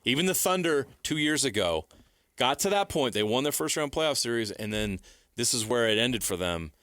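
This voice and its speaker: A man's voice: medium pitch, sing-song, moderate pace, a bit bright, no echo, clean studio sound. A man co-hosting a sports radio show, casually debating in an opinionated, declarative way.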